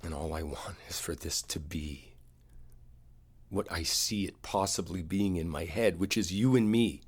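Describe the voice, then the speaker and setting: Guy acting as a hurt boyfriend, low deep voice, intimate roleplay asmr, earnest and kinda halting, confiding. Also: slightly rough. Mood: earnest, vulnerable. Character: earnest, wounded boyfriend pleading to make up.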